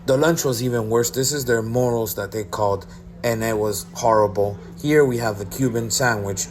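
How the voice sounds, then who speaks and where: A man with a somewhat low voice narrates a review voice-over. He sounds blunt and keeps listing his complaints. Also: slight background noise. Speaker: vlogger reviewing a cruise.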